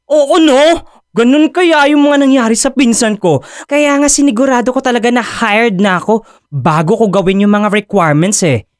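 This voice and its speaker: A woman's voice: on the high side, normal speed, dry recording, clean studio sound, close to an everyday voice. An actress playing a bubbly, teasing, gossipy young woman in a Filipino radio drama, sassy and full of slang. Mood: chatty.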